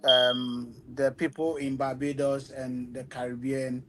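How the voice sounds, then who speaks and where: A man's voice: medium pitch, little pitch movement, unhurried, a bit bright, slight background noise. A man from Ghana, a company founder answering interview questions earnestly, with many ums. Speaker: travel agency founder.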